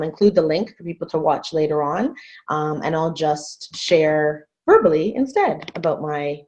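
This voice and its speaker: A woman in her thirties from Canada giving an earnest, measured webinar talk as a guest speaker over a video call. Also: lowish voice, darkish tone. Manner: earnest, measured, repeats phrases for emphasis. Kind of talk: webinar presentation. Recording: muffled video call audio.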